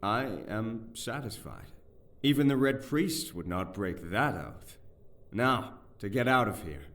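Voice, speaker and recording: A man's voice: on the low side, animated, slow pace, a bit bright, distant mic, exaggerated voice. An actor playing a proud, indignant young nobleman in an audio drama, speaking in a formal, declamatory way. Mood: commanding.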